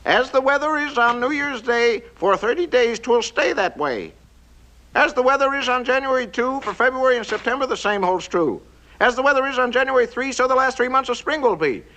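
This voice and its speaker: An actor playing an excitable man who rattles off weather rhymes in a sing-song patter, speeding up and tripping over his words. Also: very high voice, fairly quick, full character voice. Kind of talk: 1950s animated film voice acting. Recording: dull lo-fi old film sound with hiss.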